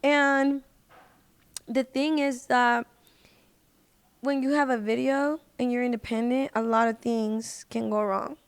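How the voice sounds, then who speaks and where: High-voiced woman chatting casually. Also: fairly even intonation, slow pace, long pauses, smooth, dry recording. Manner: informal, slangy, emphatic with repetition. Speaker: podcast guest, independent singer. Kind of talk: casual personal storytelling in an interview.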